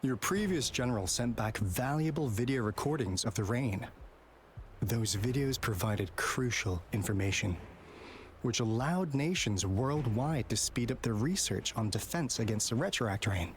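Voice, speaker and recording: An actor playing an earnest male researcher in a video game, explaining in a measured way. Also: medium pitch, expressive, a little hiss or hum, natural voice. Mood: serious, explaining. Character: earnest male researcher briefing allies on looming disaster. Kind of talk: scripted game dialogue. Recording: game music behind voice.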